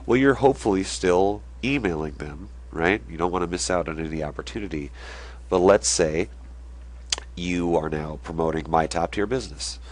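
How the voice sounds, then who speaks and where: A man's voice: low-pitched, moderate pace. A young man with a stuffy nose from a cold, explaining marketing in a casual video while sketching on a board. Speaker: online marketing video host.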